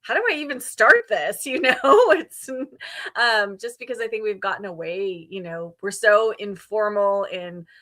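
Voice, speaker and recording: A woman in her fifties with a bright, clear-cut edge to her voice. She speaks at a middle pitch in an informal, conversational way, like a host chatting with livestream viewers. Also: expressive, unhurried. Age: 50s. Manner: conversational, reads out and responds to viewer comments. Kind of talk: casual livestream book chat.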